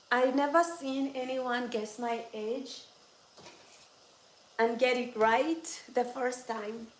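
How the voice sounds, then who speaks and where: A woman hosting a casual livestream from home, chatting and teasing viewers, laughing often. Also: a little high. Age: adult over twenty-six. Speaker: livestream host. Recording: echoey room with faint background noise.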